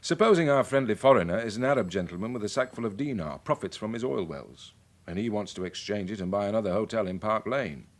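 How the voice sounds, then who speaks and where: Worldly British chief inspector, middle-aged. Low-pitched, animated delivery, measured, explanatory, wry. Radio drama. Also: male voice. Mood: measured, emphatic. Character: worldly, wry senior police chief briefing his sergeant.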